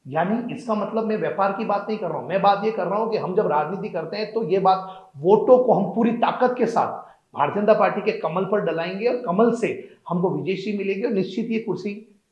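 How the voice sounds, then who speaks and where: Emphatic high male voice, political guest, echoey dull recording. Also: brisk. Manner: emphatic, declamatory, repeats phrases. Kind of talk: interview answers.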